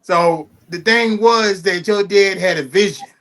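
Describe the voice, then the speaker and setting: A man hosts a casual interview, his voice sitting a little high. He rambles eagerly, circling back and repeating himself as he talks. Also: measured pace, fairly bright tone. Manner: enthusiastic, rambling, heavy fillers and repeats. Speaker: host.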